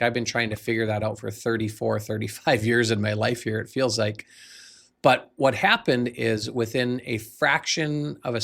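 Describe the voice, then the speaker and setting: An American man in his forties with a noticeably creaky, gravelly voice at a medium pitch. He speaks as a coach sharing a personal story, earnest and conversational, leaning on key words for emphasis. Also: fairly bright tone. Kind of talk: motivational personal storytelling to camera.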